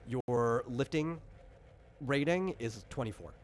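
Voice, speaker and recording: A man's voice: mid-range voice, takes it slowly. Man explaining casually, some background noise. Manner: tags explanations with "right?". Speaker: game designer guiding character creation.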